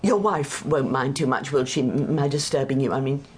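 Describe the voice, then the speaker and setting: An actress playing an earnest, fussy older English spinster in a radio drama, self-deprecating, apologetic and brisk. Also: female voice, very deep voice, slight background noise.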